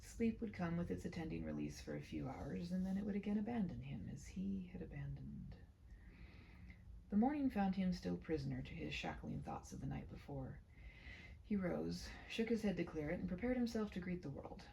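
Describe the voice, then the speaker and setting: A woman reading her own short story aloud in a hushed, deliberate way, often slipping into whispers, with slow phrasing and dramatic pauses. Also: on the low side, very animated, vocal fry, slight background noise, low-quality recording. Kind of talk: read-aloud of a literary short story, soft and ASMR-leaning.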